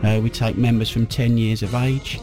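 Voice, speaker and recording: A man who leads a youth band, answering interview questions with warm enthusiasm and a few restarts. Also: medium pitch, level tone, normal speed, soft background music.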